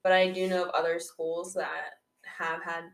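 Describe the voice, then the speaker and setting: A woman's voice: on the low side, little pitch movement, normal speed. A woman chatting casually and conversationally as co-host of an anime podcast. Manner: informal, conversational. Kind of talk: casual chat about anime. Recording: roomy, echoing room sound.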